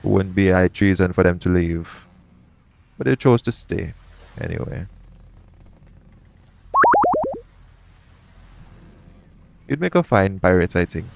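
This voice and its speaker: Man playing low-voiced pirate captain. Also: moderate pace, gravelly, darkish tone. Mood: frank, reflective. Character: shady, guarded pirate captain, roguish yet gentle. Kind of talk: intimate audio roleplay acting addressed to the listener.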